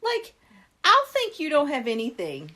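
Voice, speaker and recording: A woman's voice: high-pitched, huge pitch swings, a little slow, bright, forceful tone. A woman co-hosting a podcast in casual chat, teasing and blunt, swearing freely. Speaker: podcast co-host.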